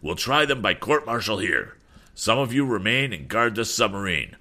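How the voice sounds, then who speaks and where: A man performs a commanding gold-laced naval officer for an adventure storybook. He is imperious and theatrical, barking his orders in a low voice with big swings of pitch. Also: sing-song, normal speed, pushed and bright, exaggerated voice. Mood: imperious, menacing.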